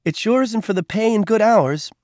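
Man doing a kind woman nurse, high lifted voice, gentle, dry close sound. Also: animated, moderate pace, no room sound. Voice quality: raised, lighter voice.

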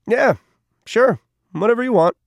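A surly teenage boy in an audiobook, snapping and sarcastic, pitched well up and pushed louder, as a man reads him. The sound is close and dry. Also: very animated, brisk, no room sound. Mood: sulky. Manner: higher, sulky and sarcastic.

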